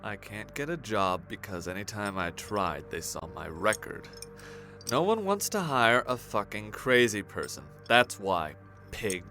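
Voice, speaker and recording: An actor playing a resentful, defiant murder suspect who answers tersely in an audio drama. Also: male voice, on the low side, sing-song, unhurried, pushed and bright, faint music behind, heavily acted. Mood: bitter, defensive. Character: tense, resentful young male murder suspect.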